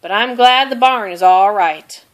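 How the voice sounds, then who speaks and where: A woman's voice: medium pitch, measured pace, natural voice, poor audio. A woman voicing an observant, earnest young farm boy in a children's storybook. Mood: relieved.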